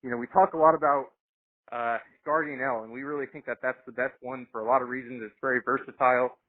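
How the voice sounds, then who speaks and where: A man giving an informal technical explanation as a products specialist, halting and repeating words as he goes. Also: mid-range voice, steady tone. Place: conference call. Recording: muffled, band-limited conference call line.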